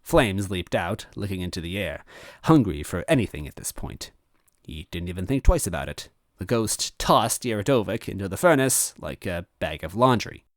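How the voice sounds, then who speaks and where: A man reading a story aloud as narrator in an animated style, close on the microphone. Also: sits low, moderate pace, no room sound. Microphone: close microphone, dry.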